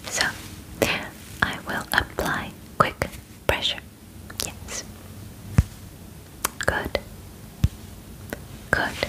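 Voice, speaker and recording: A young woman voicing a calm, clinical alien examiner in a whispered ASMR roleplay, formal and soothing, close to the microphone. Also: poor audio. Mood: calm, attentive. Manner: formal, soothing, deliberate, softly reassuring. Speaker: ASMR performer. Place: small bedroom, indoors.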